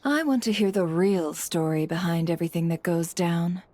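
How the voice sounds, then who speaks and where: A woman's voice: fairly low pitch, normal speed, warm tone, natural voice. An actress voicing a principled, composed woman official who speaks formally in a video game. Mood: grateful.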